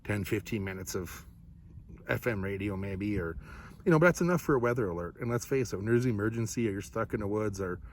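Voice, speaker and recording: Male reviewer, lowish pitch, laid-back, folksy, rambling casual delivery, noisy recording with vehicle-like background. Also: fast talker. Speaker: product reviewer. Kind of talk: casual unscripted review talk to viewers.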